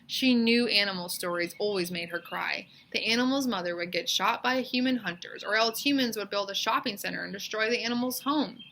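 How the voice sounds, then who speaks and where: Bright-voiced woman reading a storybook. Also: mid-range voice, fairly quick, hardly any pauses, slight background noise. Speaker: teacher reading a children's book aloud. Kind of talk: expressive storybook read-aloud narration.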